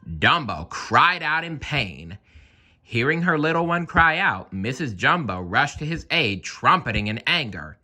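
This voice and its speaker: A man reading a children's story aloud in an expressive, animated narrating style. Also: mid-range voice, measured pace. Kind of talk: children's read-aloud narration with playful asides.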